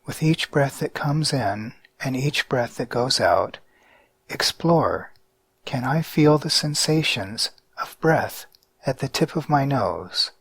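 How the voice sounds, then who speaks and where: A man guiding a mindfulness meditation in a calm, measured way with deliberate pauses. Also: mid-range voice, creaky, slightly rough, dry recording. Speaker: meditation guide.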